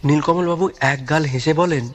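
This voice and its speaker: A man's voice: fairly high pitch, moderate pace, a little hiss or hum. A man narrating a scripted audio story as its storyteller. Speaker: story narrator, speaking as the detective's companion.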